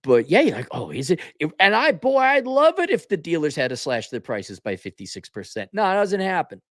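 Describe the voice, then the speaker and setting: An exasperated man riffs as host of a livestream, with a very animated pitch that swoops around. His talk is sarcastic, colloquial and opinionated, and the sound is close and dry. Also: fairly quick, dry recording. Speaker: online commentary host answering viewer comments. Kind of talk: off-the-cuff opinionated livestream rant.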